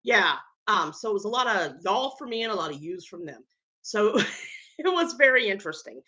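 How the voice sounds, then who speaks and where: A woman's voice: medium pitch, very animated, moderate pace, sharp tone. A tired woman with a Southern American accent, chatting casually to camera on her baking vlog, rambling with lots of ums. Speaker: home baker and vlogger.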